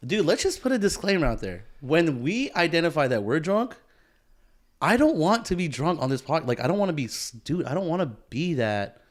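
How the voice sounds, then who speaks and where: A man's voice: a little high, sing-song, fairly quick. A young man from co-hosting a podcast, chatting in crude, swearing banter and laughing often. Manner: laughs a lot, swears freely. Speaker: podcast co-host.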